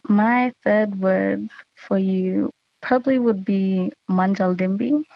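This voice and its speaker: A young woman in her late teens from Queensland with an Australian accent, sharing words from her language in a relaxed, earnest personal talk with casual fillers. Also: mid-range voice, dry recording, dull audio.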